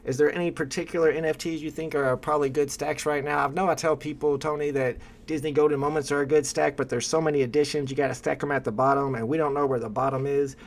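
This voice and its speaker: A man's voice: on the high side, fairly even intonation, fast talker, few pauses. A young man hosting a casual livestream from a room at home, chatting with a guest and reading viewer comments aloud. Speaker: livestream host.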